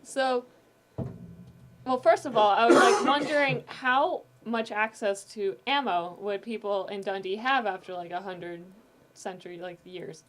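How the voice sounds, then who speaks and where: A woman's voice: mid-range voice, unhurried, background noise. Woman chatting as a guest creator. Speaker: guest, audio drama creator. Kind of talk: casual chat, explaining fictional world-building.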